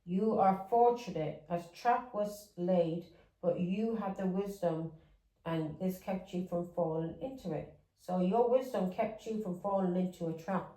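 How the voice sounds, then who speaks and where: Woman, English accent with Irish touches, lowish voice, tarot reading, rambling and repetitive, echoey room, set back from the mic. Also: measured pace, warm tone, distant mic. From England. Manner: rambling, repeats words, chatty asides. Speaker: tarot reader. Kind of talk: casual tarot reading monologue.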